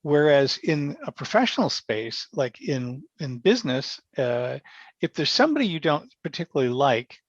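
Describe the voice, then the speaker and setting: A man talking casually and reflectively as a podcast guest, thoughtful and halting, often pausing mid-sentence. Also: a little high, big pitch swings, dry recording. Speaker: podcast guest, amateur theater member. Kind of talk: casual reflective interview conversation. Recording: dry, dull lo-fi sound.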